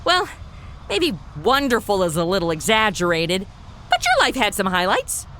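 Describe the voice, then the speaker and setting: A woman's voice: medium pitch, huge pitch swings, normal speed, sharp tone, a little hiss or hum. A woman playing a brash, flippant young angel trainee with sassy modern slang in an audio comedy. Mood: sassy, exasperated. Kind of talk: comedy audio drama acting.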